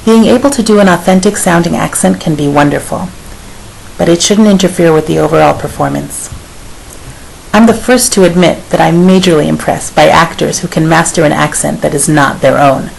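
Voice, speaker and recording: A woman speech and dialect coach reading a scripted piece of advice in a measured, advisory tone. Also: low-pitched, gravelly, poor audio. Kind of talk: scripted narration. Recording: faint hiss.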